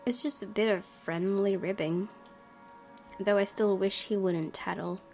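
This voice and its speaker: A woman's voice: medium pitch, steady tone, measured pace, darkish tone. A woman reading a visual novel aloud as scripted first-person narration for a gaming video. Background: music.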